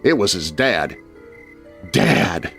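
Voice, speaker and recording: A male storyteller delivers spooky tales for children with theatrical suspense. His pitch swings expressively from line to line, and music plays behind him. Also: big pitch swings, moderate pace. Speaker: narrator. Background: music bed.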